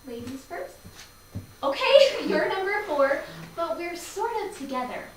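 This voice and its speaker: A woman's voice: extremely high pitch, big pitch swings, unhurried, heavily acted. A woman acting in a staged play reading as a playful, teasing young enthusiast who coaxes and persuades emphatically. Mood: teasing, reassuring. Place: live staged reading in a room. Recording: very echoey, distant mic, faint noise.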